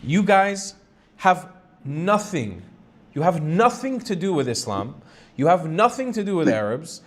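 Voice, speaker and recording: A man's voice: fairly high pitch, sing-song, measured pace. A man hosting a live call-in stream, arguing his opinions in a blunt, emphatic, sometimes sweary way. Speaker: live stream host taking calls.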